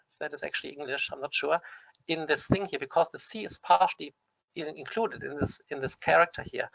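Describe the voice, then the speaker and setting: A man's voice: a little high, gravelly, fairly bright tone, no room sound. A man with a German accent presenting a teaching webinar, explaining with frequent restarts and fillers. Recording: dull lo-fi dry webinar audio.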